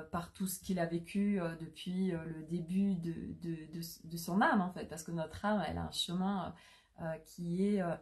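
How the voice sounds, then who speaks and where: A French-speaking woman hosts a spiritual livestream, her voice mellow and a little low. She talks softly and haltingly, with constant fillers and restarts that give it an unhurried, searching feel. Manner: soft, hesitant, many fillers and restarts. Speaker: holistic coach. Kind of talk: live-streamed spiritual talk.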